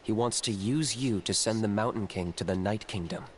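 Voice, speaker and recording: A man voicing a blunt, deadpan young hunter. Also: fairly low pitch, normal speed, slight background noise, close to an everyday voice. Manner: blunt, matter-of-fact, laid-back. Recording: game audio with music behind.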